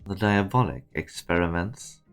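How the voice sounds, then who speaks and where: A curt male colleague played by a man answers in a deep voice. He is terse, as in a game drama, with faint music beneath. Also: normal speed, gravelly, clear, resonant tone. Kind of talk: English fan dub of an anime game.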